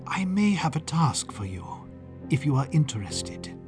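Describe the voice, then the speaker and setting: A man's voice: a little high, very animated, measured pace, over music. A man doing a murmuring sinister prince. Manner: murmuring, quiet, menacing. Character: sinister soft-spoken male prince.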